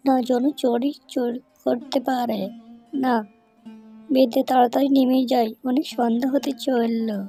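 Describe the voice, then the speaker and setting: An anxious old male cartoon fox, acted by a woman, with a high, gravelly and mellow voice. He mutters fretfully and repeats himself, like someone fed up with being robbed. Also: flat delivery, moderate pace, faint music behind. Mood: fretful, hurried. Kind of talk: amateur cartoon dubbing.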